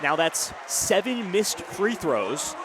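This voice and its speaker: A man's voice: high-pitched, big pitch swings, slow pace. A man in his early twenties calling live basketball play-by-play in punchy, clipped phrases. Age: early 20s. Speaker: radio play-by-play basketball announcer. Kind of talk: live sports commentary. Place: courtside in a basketball arena. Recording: loud arena crowd and court noise. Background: crowd, bouncing basketball.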